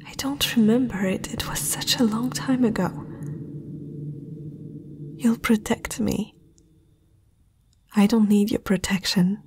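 A woman voicing a young princess, proud yet uneasy, in a hesitant breathy whisper for an ASMR fantasy roleplay. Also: medium pitch, darkish tone, a little hiss or hum. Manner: hesitant, slow, intimate.

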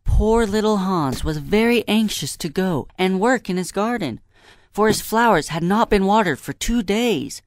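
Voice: mid-range voice, expressive, measured pace. A narrator reading a scripted classic story in storybook style. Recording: clear background noise.

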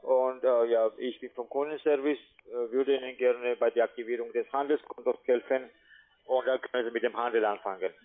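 Call center guy, halting sales pitch, thin phone audio. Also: steady tone. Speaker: customer service telesales agent. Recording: tinny telephone line.

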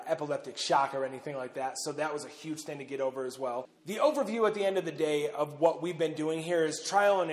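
Man talking in a booming underground silo. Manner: conversational, loose, with frequent "uh" and "pretty much". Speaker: bunker owner and host. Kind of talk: casual talk to camera. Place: large underground concrete silo tunnel. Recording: long reverb of an underground silo.

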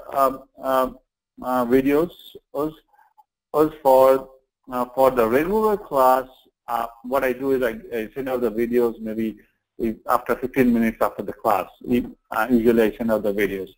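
A man's voice: medium pitch, moderate pace, poor audio. A man teaching an online class informally, explaining things step by step with repetition and check-in questions. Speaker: online course instructor.

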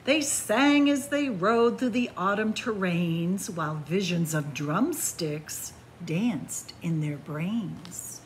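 A middle-aged woman reading a picture book aloud to children in an animated, deliberate storytelling manner. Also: on the low side, slight background noise, rough recording. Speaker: library story-time reader. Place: indoors in a library among bookshelves.

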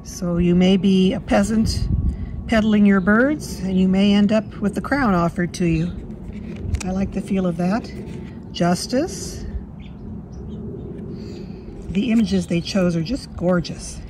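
A woman with a slightly hoarse voice from a cold, chatting fondly through a walkthrough of a card deck at her table. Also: mid-range voice, slow pace, long pauses, background noise. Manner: fond, chatty, admiring. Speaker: tarot deck reviewer. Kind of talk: casual walkthrough. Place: indoors at a table.

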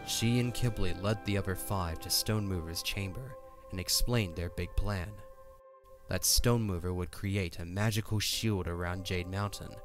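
A man's voice: low voice, moderate pace, music in the background. A man narrating a scripted audio drama as its storyteller.

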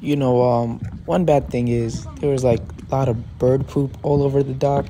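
Young man, easygoing vlog narrator, mellow and mid-pitched, faint background noise. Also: steady tone, moderate pace. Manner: laid-back, chatty. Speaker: vlogger. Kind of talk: casual vlog voice-over.

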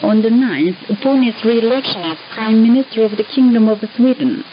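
A woman from North Korea reading formal scripted news in a measured, declamatory way over shortwave radio. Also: a little high, animated. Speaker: newsreader. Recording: hissy, muffled shortwave radio reception.

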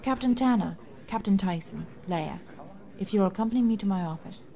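A woman playing a composed, courteous rebel military leader in a science-fiction audio drama, formal and measured. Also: medium pitch, creaky, a crowd in the background, lightly acted.

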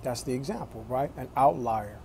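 A man in his forties talking to his phone camera in a small room, slow and deliberate, with a preachy tone. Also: mid-range voice, creaky, warm tone, slight background noise. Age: 40s. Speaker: vlogger. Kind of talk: casual talk to camera.

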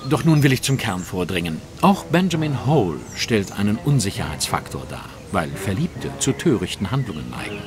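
An actor playing a shrewd, commanding master detective in an audio drama, speaking in a precise, formal, instructive manner. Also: male voice, on the low side, sing-song, normal speed, hardly any pauses, crowd noise. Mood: brisk, instructing. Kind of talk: German audio drama acting.

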